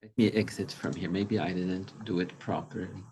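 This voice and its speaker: A middle-aged man from Lebanon speaks over a video call, presenting as a pediatric endocrinologist. His voice sits a little low, and his delivery is conversational and measured. He often pauses to gather his thoughts mid-sentence. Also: fairly even intonation, darkish tone, a little hiss or hum. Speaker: pediatric endocrinologist giving a talk. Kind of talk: informal presentation.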